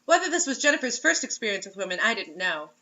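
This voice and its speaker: A woman's voice: fairly high pitch, very animated, fast, bright, forceful tone, dull audio. A woman reading a story aloud as host, in a mocking dramatic way, breaking off for sarcastic casual asides.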